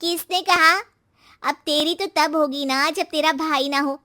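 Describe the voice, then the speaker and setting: Woman acting a catty high-voiced young friend. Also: fairly even intonation, unhurried, pushed and bright, no echo, studio quality. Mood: taunting. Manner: taunting.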